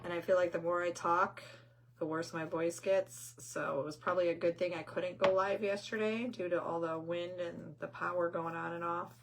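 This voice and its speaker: An older woman hosting a craft livestream, chatty and rambling, reading viewer comments aloud while painting; her voice is hoarse and squeaky from losing it. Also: little pitch movement, moderate pace, background noise.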